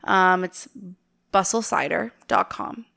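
A woman chats as a podcast co-host, her voice hoarse and raspy from a cold. Heavy vocal fry and a gravelly edge run through every phrase. She talks in a relaxed, slightly halting way, with a few fillers. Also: medium pitch. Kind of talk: casual chat.

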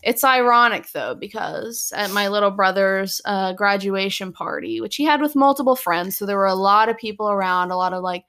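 A woman's voice: medium pitch, moderate pace, hardly any pauses, a bit bright. An American woman in her twenties, a podcast co-host telling stories in a chatty, sarcastic way. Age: 20s. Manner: chatty storyteller, sarcastic asides. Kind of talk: casual chat.

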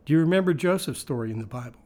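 Devotional reader, male, pitched a little high. Reflective scripted delivery that poses questions to the listener. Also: expressive, racing, a little mellow. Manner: reflective, posing rhetorical questions.

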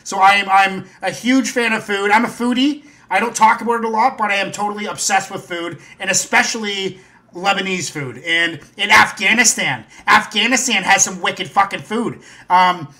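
A Canadian podcast host chatting enthusiastically, swearing casually and repeating himself. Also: male voice, high-pitched, moderate pace, bright, forceful tone. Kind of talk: casual chat.

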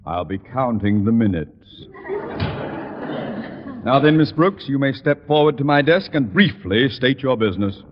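An actor playing an ill-tempered, pompous middle-aged school principal in a radio sitcom. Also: male voice, mid-range voice, expressive, normal speed, close to an everyday voice. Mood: imperious, curt. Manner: pompous, exasperated, imperious. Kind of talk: 1940s-50s radio sitcom acting. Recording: muffled, noisy vintage radio recording, echoey hall.

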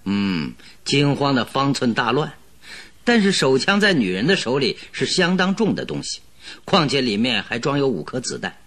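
A man playing a shrewd detective in a radio drama, questioning and reasoning in a deliberate way. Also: mid-range voice, big pitch swings, fairly bright tone. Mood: reasoning, sceptical. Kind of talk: Mandarin radio drama acting.

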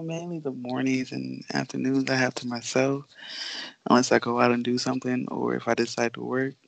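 A woman's voice: a bass voice, creaky, dry recording, low-quality recording. A young woman, a professional basketball player from the Bahamas who grew up in Florida, chatting casually and easygoing as a podcast guest. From Bahamas, raised in Florida. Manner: laid-back, easygoing, laughs often.

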